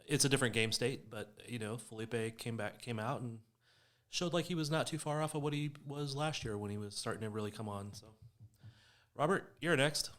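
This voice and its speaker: A man's voice: medium pitch, fairly quick, a bit bright. A man talking casually as a panelist on a sports podcast. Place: in person around a table with several mics.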